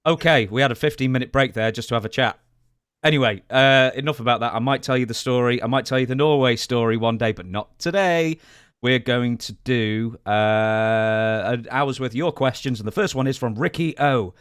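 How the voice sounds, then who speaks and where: A man's voice: medium pitch, normal speed, fairly bright tone, clean tone, dry recording, clean studio sound. A man co-hosting a podcast, chatting casually and putting questions to his partner. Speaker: podcast co-host reading listener questions.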